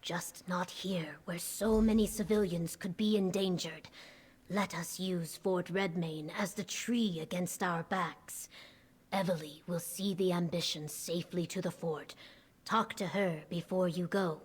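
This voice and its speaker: A female reptilian war leader from a fantasy game. Her voice is low and husky, and she speaks with solemn, measured formality, steadfast in command. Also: fairly even intonation. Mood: grave, resolute. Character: steadfast female lizard-folk legion commander. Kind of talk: video game character acting.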